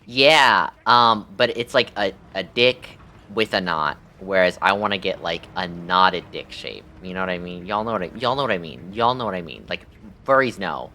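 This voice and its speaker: Bright, forceful man with a low voice. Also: moderate pace. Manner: crude, joking, slangy, repeats himself. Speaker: livestreamer playing a game. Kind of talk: casual chat with stream viewers.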